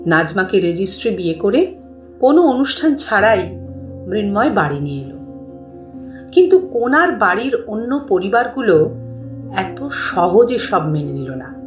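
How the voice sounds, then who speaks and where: A woman narrating a scripted story as a dramatic storyteller. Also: medium pitch. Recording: roomy, slightly distant, music bed.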